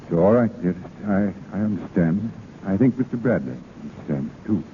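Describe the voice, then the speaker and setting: Proud middle-aged husband, swinging radio-drama delivery. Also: male voice, low voice, expressive, moderate pace. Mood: coldly controlled. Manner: formal, clipped, theatrical. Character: wronged middle-aged husband, proud, formal, self-controlled. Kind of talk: 1930s-40s radio drama acting. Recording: scratchy, tinny old radio broadcast.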